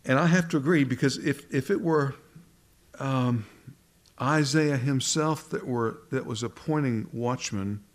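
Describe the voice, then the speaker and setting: A man's voice: medium pitch, measured pace. A man preaching a Bible sermon, often repeating words as he searches for the next phrase.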